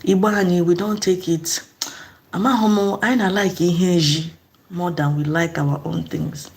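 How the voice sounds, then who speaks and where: A woman's voice: on the low side, steady tone, normal speed. A middle-aged woman from eastern Nigeria, speaking in a casual, earnest vlog monologue and asking reflective questions. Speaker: vlogger.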